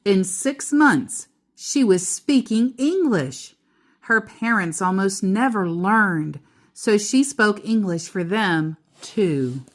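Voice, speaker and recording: Female storyteller for children's read-aloud, mid-range pitch, unhurried measured delivery, casual explanatory asides. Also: lively intonation. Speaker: storyteller reading a picture book aloud.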